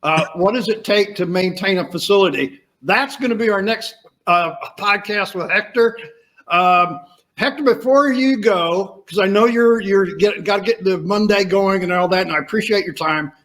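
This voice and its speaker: A man's voice: sits high, moderate pace, fairly bright tone. A man hosting a podcast over a video call, talking emphatically with rhetorical questions. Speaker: podcast host. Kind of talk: casual interview chat.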